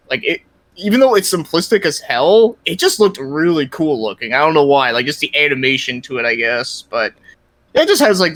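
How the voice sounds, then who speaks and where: A man's voice: fairly high pitch, pushed and bright, no echo. A young man chatting casually as a podcast guest about his interests, enthusiastic, slangy and rambling. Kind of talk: casual gaming podcast chat.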